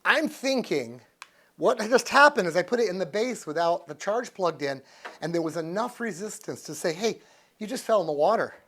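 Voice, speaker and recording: A man's voice: high-pitched, lively intonation, normal speed, a bit bright. Easygoing American man in his sixties. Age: 60s. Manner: folksy, easygoing, thinking aloud. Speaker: product reviewer. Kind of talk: casual unscripted review chat to camera. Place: living room with couch, indoors.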